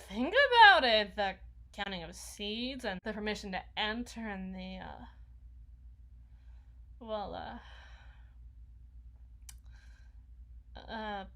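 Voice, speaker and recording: A woman's voice: mid-range voice, bright, forceful tone. A woman voicing an awkward, needy, housebound young vampire in an ASMR roleplay, rambling and stammering as she corrects herself. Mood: nervous, flustered. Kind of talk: intimate ASMR roleplay monologue.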